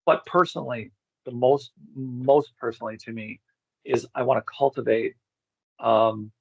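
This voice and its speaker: A man's voice: mid-range voice, no room sound, highs cut off. A middle-aged man telling personal stories in a candid, rambling, profane way as an interview guest. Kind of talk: casual personal storytelling.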